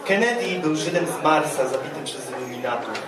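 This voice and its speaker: Deadpan man reading in an echoey room. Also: mid-range voice, a little fast, crowd noise, background noise. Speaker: poet reading own work at a festival. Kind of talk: satirical literary reading, mock lecture. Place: dark stage at a live poetry event, indoors. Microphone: microphone on a stand, heard through room. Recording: echoey live stage sound, distant, audience around.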